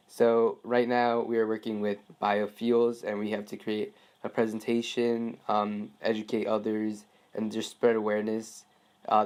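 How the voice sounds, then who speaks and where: A man's voice: lowish voice, darkish tone. A teenage male student conducting an informal phone interview, polite and a little hesitant. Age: teens. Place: phone call.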